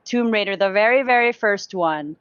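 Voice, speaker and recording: A woman's voice: mid-range voice, moderate pace, fairly bright tone, no room sound. A woman co-hosting a casual chat show in an enthusiastic, giggly manner. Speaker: co-host. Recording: slightly dull, lo-fi voice capture.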